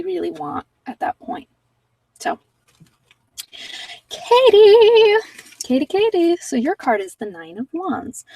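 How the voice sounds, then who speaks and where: A woman giving a casual, halting tarot reading and chatting with viewers as she thinks aloud. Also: medium pitch, a little mellow, dry recording, recorded from a distance, poor audio. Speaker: host of a live card-reading session.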